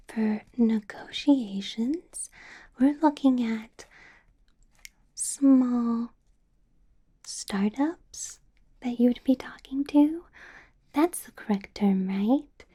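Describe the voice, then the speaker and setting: A woman voicing a doting, possessive vampire boss in an ASMR roleplay, in a soft breathy whisper with a coaxing, controlling sweetness. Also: fairly high pitch, drawn-out words, long pauses, exaggerated voice. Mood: businesslike, coy. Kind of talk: ASMR roleplay, close whispered personal attention.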